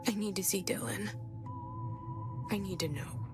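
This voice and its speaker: An actress playing a guarded young woman voicing her private thoughts in a video game, breathy, intimate and brooding. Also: mid-range voice, measured pace, over music, close to an everyday voice. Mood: guarded, resolute. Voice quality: breathy, half-whispered. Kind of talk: video game inner monologue.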